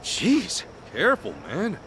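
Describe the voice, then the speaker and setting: Animated, high-voiced, hot-headed hero. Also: male voice, slow pace, slight background noise, exaggerated voice, low-quality recording. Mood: outraged, alarmed. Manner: expressive, informal, exclaiming, swearing. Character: earnest, hot-headed, good-hearted Japanese middle-aged hero. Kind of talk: English-dubbed video game cutscene acting.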